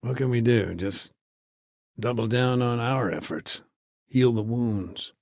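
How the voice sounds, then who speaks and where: An actor playing a weary aid worker in a game cutscene, reflective and wry, talking in a world-weary way. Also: male voice, medium pitch, slow, no room sound, clean studio sound. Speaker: aid worker being interviewed.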